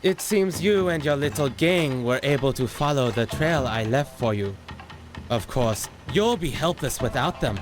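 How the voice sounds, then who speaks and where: A man's voice: mid-range voice, expressive, unhurried, natural voice. A man voicing an arrogant, power-hungry animal warlord in a video game, pompous, menacing and theatrical in his delivery. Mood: smug menace. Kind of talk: video game villain acting. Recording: music behind the voice.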